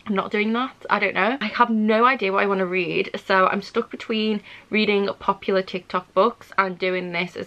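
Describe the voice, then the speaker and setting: A woman's voice: mid-range voice, fairly bright tone, highs cut off. A woman, chatting casually for a book vlog and thinking aloud in an indecisive, rambling way. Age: 20s. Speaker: book vlogger. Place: small furnished room at home.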